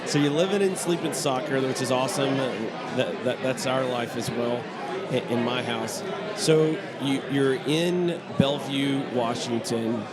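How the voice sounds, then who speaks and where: A man's voice: mid-range voice, a little slow. A man hosting a soccer podcast, chatting casually with a guest and often restarting his words. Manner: friendly, stammering restarts. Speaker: podcast host. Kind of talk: casual interview. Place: busy bar at a conference. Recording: loud bar crowd and music. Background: loud crowd chatter and music.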